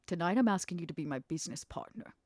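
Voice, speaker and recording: A woman acts out a shrewd female vampire with a low voice, close and dry. She speaks in measured phrases with pauses, guarded and dryly intimate, in the manner of a roleplay podcast. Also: animated, darkish tone, dry recording. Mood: wry, persuasive. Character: Vera, guarded, shrewd female vampire proposing business partnership. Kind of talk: tabletop roleplay podcast acting.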